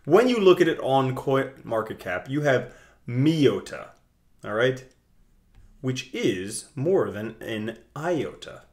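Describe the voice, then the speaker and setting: A young man hosting a casual video, talking through his screen in a chatty, jokey way with big swings in loudness. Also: medium pitch. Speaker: crypto video host. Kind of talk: casual screen-share commentary.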